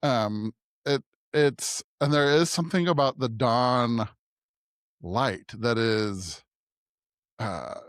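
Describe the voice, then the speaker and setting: An American man of average pitch speaks as a podcast co-host in relaxed conversation about faith. His delivery is thoughtful and halting, often searching for the right word. The recording is dry, with little room sound. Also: dry recording. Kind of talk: conversational discussion of scripture.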